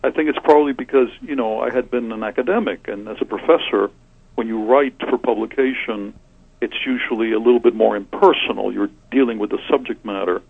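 A soft-spoken man in his sixties, originally from Cuba, thinks aloud and often restarts his sentences. His voice is gravelly and a little husky. It comes through a thin, phone-like line with a dry, close sound. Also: mid-range voice, brisk, no echo. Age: 60s. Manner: soft-spoken, reflective, frequent hesitations and restarts. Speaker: Trappist monk and author. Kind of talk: thoughtful interview answers.

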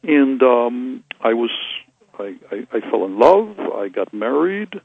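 Older man gravelly, husky voice on a phone line. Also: medium pitch, very animated, slow pace, dry recording. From Cuba. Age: 60s. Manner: soft-spoken, reflective, frequent hesitations and restarts. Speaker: Trappist monk and author. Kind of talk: thoughtful interview answers.

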